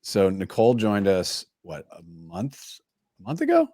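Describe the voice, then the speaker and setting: Low-ish voice guy hosting a live call, chatty, restarts a lot, dry sound. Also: wildly swooping pitch, a little slow, dark tone, no room sound. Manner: loose, chatty, restarts often. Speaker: host. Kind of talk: casual livestream hosting. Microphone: video call.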